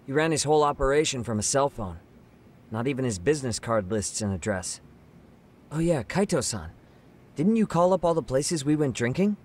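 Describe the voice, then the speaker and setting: A man's voice: medium pitch, big pitch swings, moderate pace, a little mellow. A man playing an inquisitive detective's ally in a game cutscene, conversational and questioning. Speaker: video game voice actor. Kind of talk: English dub game cutscene dialogue.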